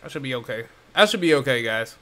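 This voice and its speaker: A man's voice: medium pitch, lively intonation, fast talker, pushed and bright, a little hiss or hum. A man doing casual live gameplay commentary, informal and sweary, reacting as he plays. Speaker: game streamer. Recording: game music behind the voice.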